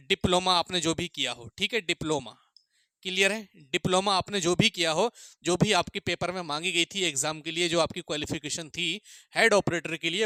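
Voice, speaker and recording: Male coaching instructor, North Indian accent, high bright voice, explains step by step, repeats himself and checks in often. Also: dry recording. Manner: repetitive, with frequent check-in phrases for listeners. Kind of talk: explanatory online lecture addressed to students.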